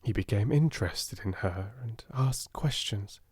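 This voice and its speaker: A gentle Indian manservant from a storybook, voiced by a male reader. He is humble and devoted, with a measured, deferential way of speaking and an air of quiet mystery. The sound is dry and close. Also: fairly low pitch, husky, no echo. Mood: gently confiding.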